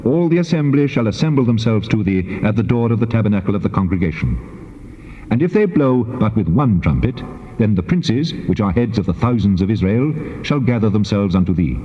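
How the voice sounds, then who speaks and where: Grand God voice in cavernous reverb. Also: male voice, fairly low pitch. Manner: grand, solemn, declamatory. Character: God, a commanding solemn male deity giving law. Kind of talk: dramatized scripture recording. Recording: long cavernous reverb, dull tape sound.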